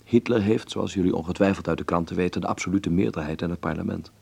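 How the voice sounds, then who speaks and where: Radio drama role: kindly young Dutch man, courteous and reflective, low voice with gravelly edge. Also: quick pace, a little mellow, dry recording, exaggerated voice. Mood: sober, regretful. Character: earnest, kindly young Dutch filmmaker living in 1930s Berlin. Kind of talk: radio drama acting with letter narration.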